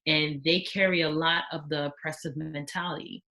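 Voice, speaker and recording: A young woman of Haitian background talking casually as a podcast guest, outspoken and animated, acting out the voices she quotes. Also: low-pitched, normal speed, rough recording.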